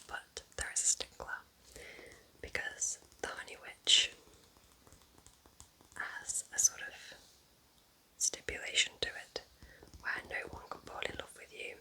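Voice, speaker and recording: A British woman whispering softly in an unhurried, chatty ASMR style, gently rambling as a host. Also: low-quality recording. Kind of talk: whispered ASMR, gentle roleplay book chat.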